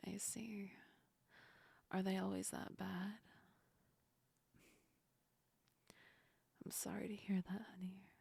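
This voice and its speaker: A woman voicing a gentle, affectionate female sleep demon in a soft, breathy ASMR whisper, close to the microphone, soothing and lightly teasing. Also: mid-range voice, no echo, heavily acted. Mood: sympathetic, tender. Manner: slow, soothing, reassuring, lightly amused. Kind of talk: ASMR roleplay, whispered and intimate.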